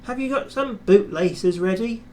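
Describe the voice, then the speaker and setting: Man putting on a cheeky high-pitched little engine. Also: a little slow, warm tone, a little hiss or hum. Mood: mocking. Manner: teasing. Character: cheeky little tank engine, rude and mocking. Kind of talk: children's storybook character voice.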